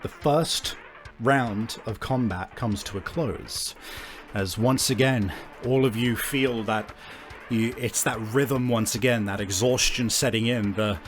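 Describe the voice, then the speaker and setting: A man's voice: medium pitch, slow pace, a little hiss or hum. A man hosting and game mastering, improvising vivid descriptive narration for a tabletop game. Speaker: game master and podcast host. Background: background music.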